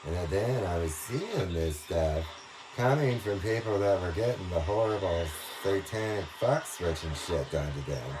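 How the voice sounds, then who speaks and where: A man talking through a rambling monologue, halting, restarting and trailing off as he goes. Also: deep voice, expressive, background noise. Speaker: video monologue speaker.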